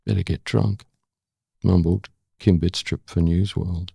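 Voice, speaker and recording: An older Australian man with a gravelly voice, speaking as an interview guest and former correspondent. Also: low-pitched, little pitch movement, a little slow, mellow, dry recording. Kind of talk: dramatic spoken-word recital of own prose, then reflective conversation.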